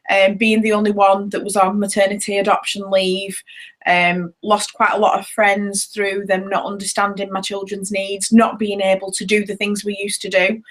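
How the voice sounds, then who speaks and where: A woman in her thirties sharing her personal story in a talk, earnest and hesitant, with many ums. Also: medium pitch. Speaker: network marketing seller sharing her story.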